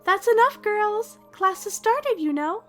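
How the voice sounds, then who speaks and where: A woman's voice: very high-pitched, lively intonation, a little slow, faint music behind. A woman voicing a kindly adult pony schoolteacher in a cartoon story. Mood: gently chiding. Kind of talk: cartoon character acting.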